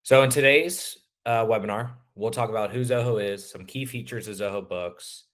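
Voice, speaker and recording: A man presenting a webinar, his voice a little raspy and hoarse, talking casually with many fillers. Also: on the low side, normal speed, fairly bright tone. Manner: casual, filler-heavy, loose explanations. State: slightly hoarse.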